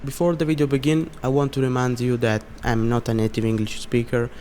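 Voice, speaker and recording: A man's voice: mid-range voice, flat delivery, fairly quick, mellow, a little hiss or hum. Italian-accented man narrating a video essay. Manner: earnest, explanatory, slightly non-native phrasing. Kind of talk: scripted narration.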